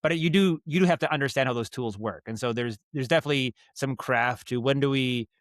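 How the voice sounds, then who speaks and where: A man with a medium-pitched voice speaks as a podcast guest, explaining his craft. He is casual and thoughtful, often circling back and rewording as he goes. The sound is dry, with little room in it. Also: brisk, dry recording. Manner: rambling, thoughtful, frequent self-corrections and hedging. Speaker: podcast guest, audio storyteller and director.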